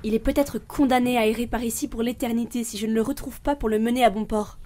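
A woman's voice: a little high, steady tone, fast talker, dark tone, slight background noise, lightly acted. An actress playing a weary, brooding female reaper of souls in an introspective audio drama narration. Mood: insistent. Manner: solemn, introspective.

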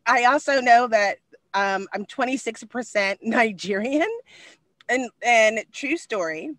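Brief: animated woman in her 40s, high carrying voice, loud and quick, dry close webcam sound. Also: no echo. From Texas. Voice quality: high-pitched voice that carries. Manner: animated, loud and fast, with expressive hand gestures. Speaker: podcast co-host. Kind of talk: casual conversation. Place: small room indoors. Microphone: video call webcam.

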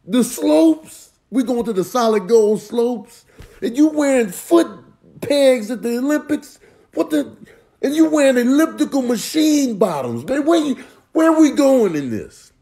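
A man's voice: extremely high pitch, very animated, measured pace, vocal fry, warm tone. A man giving slangy, repetitive comic commentary over short clips. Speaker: comedian doing voiceovers.

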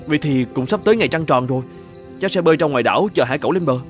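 A man's voice: on the high side, animated, very fast, over music, lightly acted. A man voicing a brave, polite young knight in a children's cartoon. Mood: earnest, polite. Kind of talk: children's cartoon fairy-tale dubbing.

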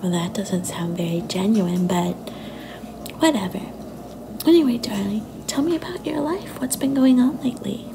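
Woman acting young girlfriend, affectionate, a bit possessive, soft whispery ASMR delivery, gravelly creak, noisy ambient recording. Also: medium pitch, moderate pace, close to an everyday voice. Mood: soft, musing. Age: young adult. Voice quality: soft, often breathy and whispery.

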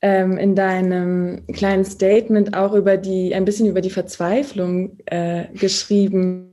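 Moderator: a woman with a mellow, dark voice, asking long probing questions over a video call. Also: medium pitch, level tone, unhurried. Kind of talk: moderating a panel talk.

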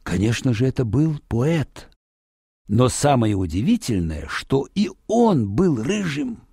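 A man narrating a fairy tale for children in an expressive, dramatic storytelling manner. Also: mid-range voice, slow, husky, dry recording, studio quality.

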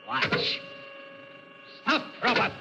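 An actor playing a megalomaniac mad scientist in a vintage radio thriller, theatrical and taunting. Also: male voice. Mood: gloating, menacing. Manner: theatrical, gloating, deliberate taunting. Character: megalomaniac mad scientist inventor, gloating and menacing. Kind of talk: 1940s radio thriller acting. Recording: muffled, noisy vintage radio recording.

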